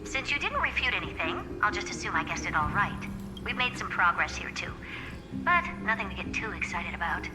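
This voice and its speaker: Haughty, brisk genius madam played by an actress. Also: female voice, medium pitch, very animated, big-room sound, close to an everyday voice, rough recording. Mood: brisk, smug. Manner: brusque, superior, matter-of-fact. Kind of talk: scripted game voice acting. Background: game music.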